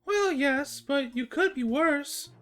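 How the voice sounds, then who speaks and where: Woman doing a little boy dragon for a cartoon fanfic, high voice, cheeky n blunt. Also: animated, takes it slowly, soft background music. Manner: casual, blunt, boyish. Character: young male dragon, cheeky, sleepy-headed cartoon sidekick. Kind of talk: cartoon fan fiction reading.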